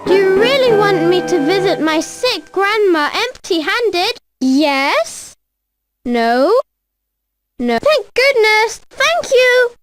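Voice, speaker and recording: A tiny, very high-voiced fairy-tale girl, sweet and naive. She speaks slowly and politely, with big swoops of wide-eyed cheer, as in an interactive storybook. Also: very animated, soft background music, full character voice. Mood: curious, innocent. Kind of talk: children's interactive storybook acting.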